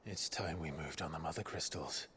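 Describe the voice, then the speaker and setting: Clive, a deep, grim warrior leader. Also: male voice, moderate pace, slight background noise. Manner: terse and commanding. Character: grim, scowling young male warrior leader. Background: loud orchestral score.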